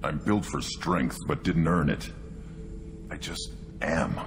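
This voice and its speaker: An actor voicing a hulking young tank-bred alien warrior in a video game, blunt and scornful, growling out terse, brooding fragments. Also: male voice, very deep voice, slow, background noise. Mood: brooding, contemptuous. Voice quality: guttural, growling. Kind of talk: video game dialogue acting.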